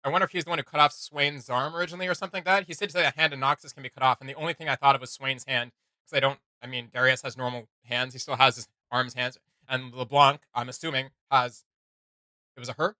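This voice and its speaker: A man's voice: medium pitch, steady tone, quick pace, bright, forceful tone, no echo. A man hosting a reaction video in casual chat, joking and rambling with lots of filler words.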